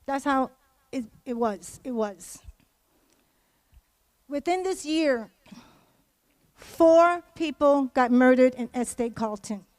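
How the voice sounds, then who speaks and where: High-pitched woman speaking in public. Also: slow, pauses often, a little mellow, dry recording. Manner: emphatic, repeats phrases for stress. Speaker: community member giving testimony. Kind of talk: impassioned public speech at a memorial event.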